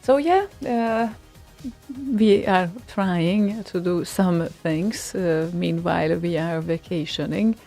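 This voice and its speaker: A woman's voice: on the low side, slow, warm tone, faint music behind. A woman hosting a radio show, chatting casually in a rambling way with many fillers and a dry, teasing humor. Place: radio studio.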